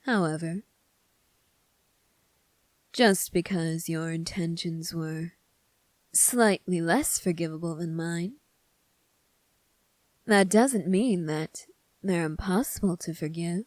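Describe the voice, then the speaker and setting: Young prince, played by a woman for ASMR roleplay: teasing, smug, quietly in command, very unhurried delivery in a fairly low register. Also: no room sound. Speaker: voice actor. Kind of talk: scripted ASMR roleplay monologue.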